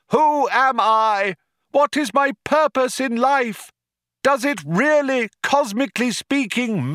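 Rapturous rally announcer for an audiobook, very high loud voice, slow grand declaiming. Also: male voice, no echo, studio quality, exaggerated voice. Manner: grandiose, declamatory, drawn-out proclaiming. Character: rapturous male cheerleader addressing a huge crowd by tannoy. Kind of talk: theatrical audiobook character voice.

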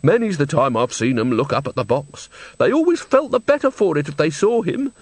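A man's voice: on the high side, very animated, a little fast, no echo, pitched above the performer's own voice. A man reading a storybook, voicing a frightened, tearful little old woman who speaks in a fretful, plaintive, sniffling way. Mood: tearful distress.

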